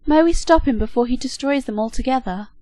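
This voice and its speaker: A woman's voice: sits high, brisk, warm tone, dry recording, pitched above the performer's own voice, lightly acted. Two worried goddesses who earnestly appeal to their father in a storybook tale, read aloud by a woman. The sound is dry and close. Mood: pleading.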